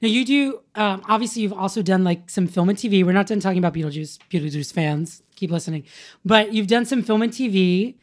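A woman with a raspy voice hosting a casual interview and gushing over her guest. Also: mid-range voice, a little fast, darkish tone. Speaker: podcast host.

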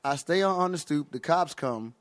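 A man with an American accent telling casual, comedic stories in animated, profane slang as a guest comedian. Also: on the high side, moderate pace, no room sound.

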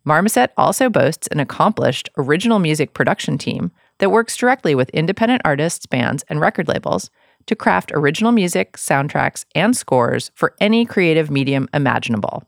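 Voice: mid-range voice, sing-song, brisk, vocal fry, no room sound, clean studio sound. A podcast host who moves between interview chat and scripted show announcements.

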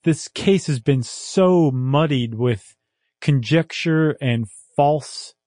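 A man's voice: a little high, takes it slowly, a little mellow, dry recording, clean studio sound. A man co-hosting a podcast, musing in casual chat and rambling through restarts as he wraps up a topic. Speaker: podcast co-host. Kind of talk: casual chat, wrapping up an episode.

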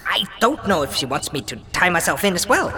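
An actor, a woman, playing a blunt, halting non-human pack creature, a terse outsider in a fantasy audio drama. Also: fairly low pitch, big pitch swings, long reverb tail, noisy background. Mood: hesitant, puzzled.

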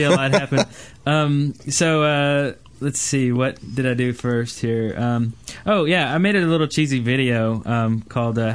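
A man hosting a casual group podcast in a loose, hesitant, improvised way. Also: medium pitch. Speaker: podcast host.

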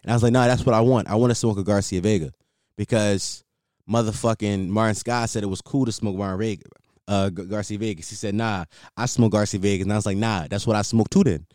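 A young man in a casual monologue, rambling with many fillers and casual swearing, his voice on the higher side for him. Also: fairly even intonation, quick pace, a little mellow, no room sound, clean studio sound. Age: young adult. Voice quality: higher-toned voice.

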